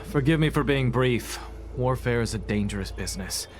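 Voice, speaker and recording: An actor playing a stern, embittered soldier in a fantasy video game, speaking formally and gravely. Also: male voice, mid-range voice, moderate pace, a little hiss or hum, lightly acted. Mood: grave, brisk. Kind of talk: video game character acting. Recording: loud music behind.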